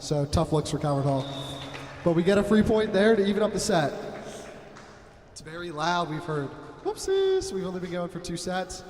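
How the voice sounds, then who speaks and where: A young man giving loud, chatty, joking play-by-play commentary on a school volleyball match from an echoey gym. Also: high voice, sing-song, normal speed, slight background noise. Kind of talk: amateur sports commentary. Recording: roomy echoing gym with long reverb.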